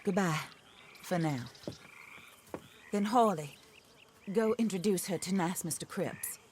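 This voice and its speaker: A cold, commanding widow in a western video game, formal and exacting, who lays out her plans for revenge without raising her voice. Her voice sits low and stays measured. A faint outdoor ambience sits behind her. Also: a little mellow, a little hiss or hum.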